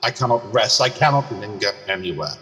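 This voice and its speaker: A tormented dead man's ghost in a radio play, drenched in long reverb. The delivery is declamatory and mournful, with drawn-out words and big sweeps of pitch. The tone is bright and forceful. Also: lively intonation, soft background music. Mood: anguished, remorseful. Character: tormented wailing ghost of a dead male business partner. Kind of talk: amateur radio play acting.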